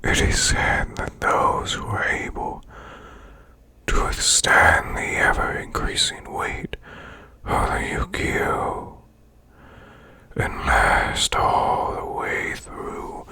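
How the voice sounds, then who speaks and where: A man voicing a male demon boss in an ASMR bedtime reading, with a breathy, rasping, growled whisper and slow, deliberate storytelling. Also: pushed and bright. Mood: hushed, soothing. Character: male demon boss, growling while lulling listener to sleep.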